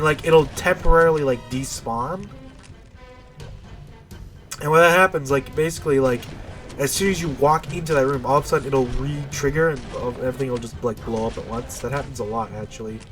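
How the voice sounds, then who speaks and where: A man with a stuffy, nasal voice giving casual, rambling, unscripted commentary while playing a video game. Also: a little high. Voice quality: nasal, congested. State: stuffy nose.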